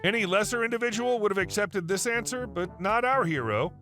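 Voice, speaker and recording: A man narrating online stories in a wry, animated scripted way. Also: sits high, a little fast, faint music behind. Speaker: story narrator.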